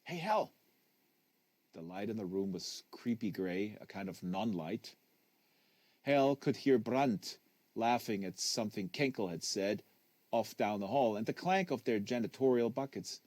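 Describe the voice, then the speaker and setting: A man reading a literary novel aloud as a narrator, leaning on phrases with deliberate emphasis. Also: fairly low pitch, darkish tone, dry recording. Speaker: audiobook reader. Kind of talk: live literary audiobook reading.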